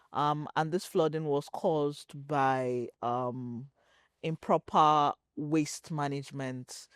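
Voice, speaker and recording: A woman from Nigeria, a startup co-founder answering interview questions in a measured, reflective way, pausing often with fillers. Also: sits low, clear, resonant tone, no echo, studio quality. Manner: measured, thoughtful, pauses with fillers.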